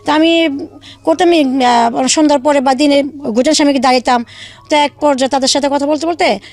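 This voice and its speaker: A young woman from Bangladesh, telling her life story to an interviewer in a halting way with many fillers. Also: high-pitched, fairly even intonation, a little mellow. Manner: halting, many fillers and restarts, re-enacts dialogue. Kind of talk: casual spoken storytelling.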